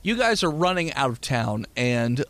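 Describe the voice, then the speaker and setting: A man's voice: mid-range voice, big pitch swings, moderate pace, a bit bright, no echo. A young man narrating as game master in a casual, profane, laughing way.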